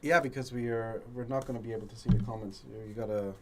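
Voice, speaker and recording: A man hosting a casual livestream chat, talking haltingly with many fillers and restarts, his voice strained and deeper from a long illness. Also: steady tone, darkish tone, a little hiss or hum. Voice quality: deeper, rough voice. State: voice strained from a long lung infection. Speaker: livestream host.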